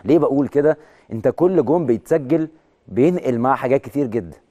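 A man in his thirties with an Egyptian Arabic accent and a hoarse voice, presenting sports news in a studio and talking emphatically, with excited peaks on key words. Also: medium pitch, fast, muffled recording. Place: large TV studio with video wall.

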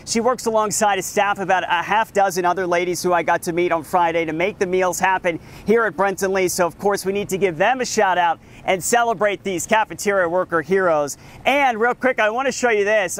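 An enthusiastic male field reporter with a fairly high voice, talking live outdoors in front of a school. He sounds upbeat and chatty, picked up on a lapel mic. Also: a bit bright.

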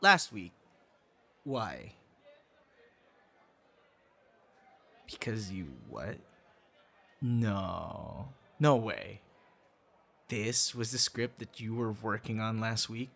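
A man's voice: on the low side, vocal fry, sharp tone, full character voice. A man acting a tender, secretive young man on a date in an ASMR boyfriend roleplay, easily flustered. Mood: flustered, defensive. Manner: slow, intimate, with halting stammers when flustered.

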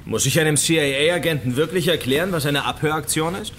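An actor in a radio crime drama playing a taunting, theatrical villain who lectures smugly about guilt and redemption. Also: male voice, fairly high pitch, brisk, a bit bright, slight background noise, close to an everyday voice. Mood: smug, mocking. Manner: smug, theatrical, mock-pedantic.

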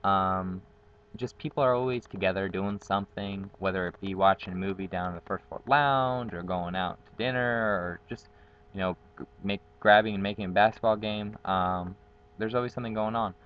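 Young man, native spanish speaker, fairly low voice, upbeat and chatty, muffled webcam sound. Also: normal speed, slight background noise. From Guatemala. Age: young adult. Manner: chatty, upbeat, many ums. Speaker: college dorm community facilitator. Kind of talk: casual self-introduction vlog. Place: outdoors on a patio with trees. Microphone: built-in webcam mic, close framing.

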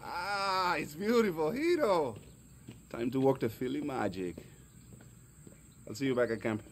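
A man playing an eccentric, boastful guerrilla tinkerer in a video game, with theatrical, excitable flourishes. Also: a little high, a little hiss or hum. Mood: boastful, gleeful. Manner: theatrical, drawn-out bragging with affectionate flourishes. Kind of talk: video game cutscene acting.

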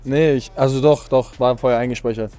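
A young man, a guest on a football show, giving short, relaxed answers in an indoor hall. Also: mid-range voice, fairly quick, clear, resonant tone, soft background music. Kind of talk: casual chat.

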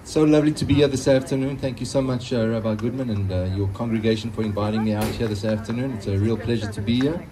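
A middle-aged man with a South African accent, a singer-guitarist speaking thoughtfully and haltingly to an audience between songs, through a microphone outdoors. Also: mid-range voice, a crowd in the background, rough recording. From Johannesburg, South Africa. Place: outdoors under a canopy in a concrete yard. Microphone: stage microphone through a PA, filmed from a few metres.

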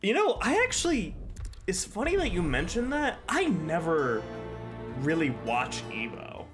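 A man's voice: high-pitched, huge pitch swings, measured pace, a bit bright. A young man with a stuffy, congested voice from a cold, chatting casually and swearing while streaming a game from his bedroom on a headset. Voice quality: sweary, rambling. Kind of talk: casual gaming commentary. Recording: game music behind.

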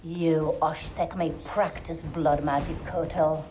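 A woman's voice: low voice, steady tone, takes it slowly. A woman playing a cold, proud sorceress assassin in a video game, haughty and menacing in her delivery. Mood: haughty, taunting. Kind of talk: dramatic video game villain acting. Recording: noisy ambience behind the voice.

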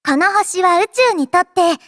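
A woman's voice: very high-pitched, expressive, normal speed, a bit bright, dry recording, studio quality. A woman voicing a cheerful, childlike android girl in an anime game, playful and boastful in manner. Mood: earnest, wistful. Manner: playful, childlike, refers to herself by name. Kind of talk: anime game character voice lines. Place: dry studio.

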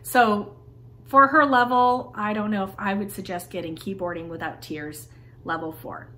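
A woman's voice: mid-range voice, fairly even intonation, normal speed, pushed and bright, a little hiss or hum. A woman in her thirties casually reviewing her daughter's homeschool curriculum to camera, chatty and friendly, speaking from a home schoolroom. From Taiwan. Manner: chatty, friendly, frequent "like" fillers. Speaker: homeschool vlogger. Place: home schoolroom with bookshelves, indoors.

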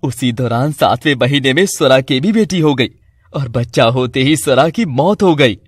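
Casting: concerned cartoon dad, played by a man, protective, persuasive, husky, close dry sound. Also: mid-range voice, lively intonation, moderate pace, no room sound. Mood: earnest, explaining. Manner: earnest, persuasive. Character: earnest, protective Indian husband and father.

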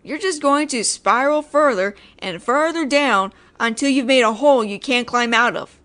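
A woman's voice: a little high, moderate pace, fairly bright tone, natural voice. A woman voicing a judgemental tsundere female ghost in an audio roleplay, sarcastic and nagging but secretly caring, speaking directly to the listener. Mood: reluctantly caring.

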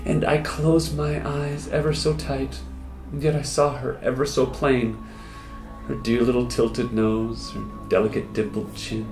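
A man in his thirties voicing a wistful, lovestruck wounded soldier in a theatrical, halting dramatic poetry recital with expressive pauses. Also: medium pitch, lightly acted. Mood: melancholy. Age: 30s. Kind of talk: dramatic poetry recital, first-person monologue. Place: small indoor room with hard walls. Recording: roomy echo, music behind.

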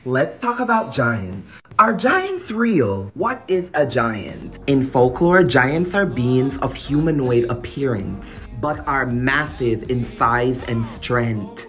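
A man's voice: medium pitch, sing-song, slow, fairly bright tone. A young man narrating an explainer to camera as a content creator. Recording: slightly muffled, lo-fi sound. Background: faint music.

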